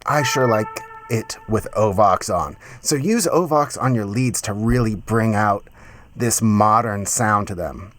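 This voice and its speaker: A man in his thirties giving a casual, enthusiastic music software tutorial in a conversational way, close on a mic in a home studio. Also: lowish voice, measured pace, slight background noise. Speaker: music production tutorial host. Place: small home studio, indoors.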